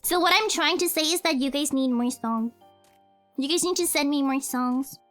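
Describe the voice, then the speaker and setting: A woman's voice: high voice, normal speed, a bit bright, soft background music. A young woman streamer and cover singer chatting casually in a livestream Q&A, rambling, correcting herself and giggling often.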